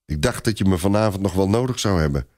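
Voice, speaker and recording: A man's voice: low-pitched, lively intonation, fast, darkish tone, no echo, studio quality. A man voicing a worried, discontented but loyal medieval herald in a radio story. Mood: forced cheer. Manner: grumbling.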